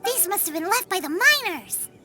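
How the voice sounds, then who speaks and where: A tiny, childlike companion girl from a video game. She is squeaky and extremely high, perky and animated, with loud music behind her. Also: normal speed. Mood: excited.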